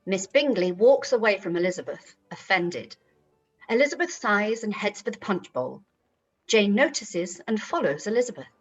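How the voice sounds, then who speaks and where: A woman narrates a radio play from a script. Her voice is middling in pitch and a little rough, and the recording is slightly muffled. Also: lively intonation, normal speed, soft background music. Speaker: narrator reading stage directions.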